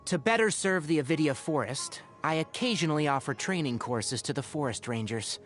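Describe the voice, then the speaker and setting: A young fox-eared ranger from a fantasy video game, speaks in a fairly high, very animated voice. He sounds scholarly and dryly exasperated, explaining things like a patient but wry mentor. Music plays softly behind him. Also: a little fast. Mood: wistful, dry. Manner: articulate, wry, gently lecturing. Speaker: video game character voice actor. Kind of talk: game character voice lines, conversational monologue. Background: background music.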